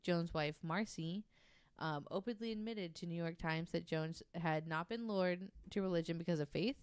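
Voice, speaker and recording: Woman, podcast host, smooth clear tone, casual narration, hesitant informal phrasing, dry sound. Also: lowish voice, normal speed, dry recording. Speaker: podcast host presenting a researched story. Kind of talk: casual narration from notes with chat.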